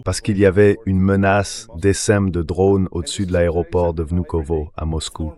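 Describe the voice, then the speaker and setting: A low male voice, synthetic in character, reads translated political commentary. It sounds like an AI dub of an interview guest. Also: level tone, moderate pace, dark tone. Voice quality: synthetic AI dubbing voice.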